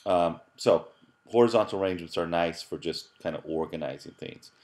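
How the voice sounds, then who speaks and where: A man with a very deep voice talks through a programming screencast. He explains casually as he goes, thinking aloud with fillers and restarting words. He sounds middle-aged and relaxed, like a teacher at his desk. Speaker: professor teaching app programming. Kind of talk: screencast tutorial narration.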